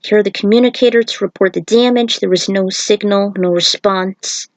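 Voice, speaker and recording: A woman's voice: medium pitch, fairly even intonation, moderate pace, dry recording, poor audio. A woman narrating a story in a casual read-aloud style, breaking off for informal, offhand asides. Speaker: fan fiction reader and author.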